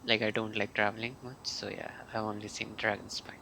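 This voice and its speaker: Gravelly man streaming a game. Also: lowish voice, little pitch movement, a little hiss or hum, low-quality recording. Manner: halting, thinking aloud. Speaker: game livestreamer. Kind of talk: casual live gameplay commentary. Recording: loud game music behind.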